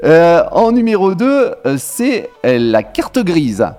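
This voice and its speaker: A man with a cold hosting a radio game, animated and playful, with hesitant fillers. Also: fairly high pitch, slow. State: has a cold. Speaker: game host. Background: faint music.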